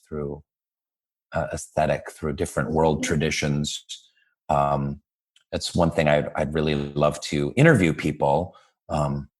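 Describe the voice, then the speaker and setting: Man, fifties, very low voice, podcast host, musing, rambling. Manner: thoughtful, rambling, many fillers and restarts. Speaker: podcast host interviewing a guest. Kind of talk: reflective casual conversation.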